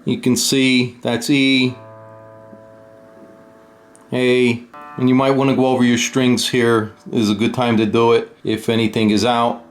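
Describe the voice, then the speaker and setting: A man's voice: medium pitch, little pitch movement, unhurried, long pauses, faint music behind. A man giving a casual, unscripted hands-on tutorial and review, explaining step by step in a blunt way. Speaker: host of a guitar gear tutorial and review.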